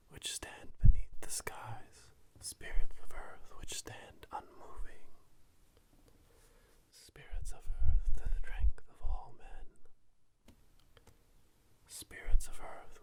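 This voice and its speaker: Slow whispering witch acted out for ASMR. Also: lightly acted. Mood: solemn, reverent. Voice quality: breathy unvoiced whisper. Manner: slow, solemn, chanting, ceremonial. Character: solemn witch leading a dark coven ritual invocation. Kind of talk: whispered ASMR roleplay, scripted incantation. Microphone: close binaural microphone, ear to ear.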